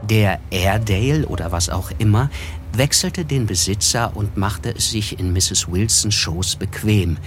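A man playing a reserved writer who narrates a literary radio play in a measured, wry, observant way. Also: fairly low pitch, noisy background, natural voice. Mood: wry, descriptive. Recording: music bed behind the voice.

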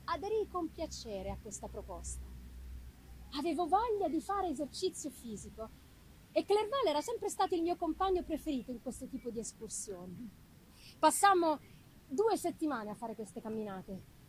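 A woman giving an expressive, deliberate dramatic reading of literary prose, with weighty pauses. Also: sits high, slight background noise, poor audio. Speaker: reader of a classic novel. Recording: live musical accompaniment behind the voice. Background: live music.

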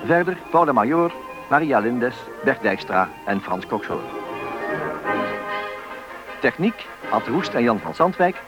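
A man reading scripted radio play credits as a formal, measured announcer, in a muffled old recording with music behind. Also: mid-range voice, expressive.

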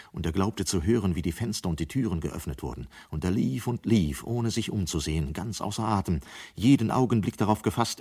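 A man's voice: low-pitched, a little mellow, slightly rough. A man narrating a scripted radio play in German as its storyteller.

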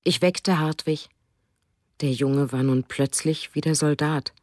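A woman's voice: sits low, steady tone, measured pace, a little mellow, dry recording, clean studio sound. A woman reading as a tender, wistful mother. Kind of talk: reading a diary for a radio drama.

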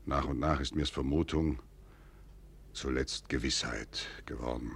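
An actor playing a grave, shrewd, wary prince in a classical German radio drama, with deliberate, weighty declamation. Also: male voice, a bass voice, vocal fry, fairly bright tone, slightly rough, no room sound, heavily acted. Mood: brooding, confiding.

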